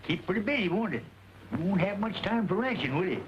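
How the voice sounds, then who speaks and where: An actor playing a feisty, folksy rancher with a drawl in an old Western, scrappy and quick to fight. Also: male voice, sits high, expressive. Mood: sly. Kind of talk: old Western film acting. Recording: muffled, hissy old film soundtrack.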